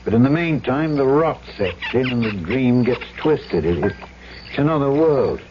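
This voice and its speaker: An actor playing a world-weary, gruff but soft-hearted colonial trader in a 1970s radio drama. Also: male voice, medium pitch, lively intonation, normal speed, background noise, natural voice. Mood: troubled, bitter. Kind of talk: 1970s radio drama acting with first-person narration. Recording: dull vintage radio with music.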